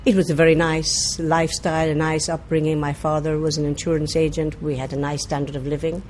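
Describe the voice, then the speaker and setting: A woman's voice: low-pitched, flat delivery, brisk, a little mellow, slight background noise. An older woman from Ireland recalling her past in short, plain, wry sentences. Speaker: interviewee. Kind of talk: personal recollection. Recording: music bed under voice.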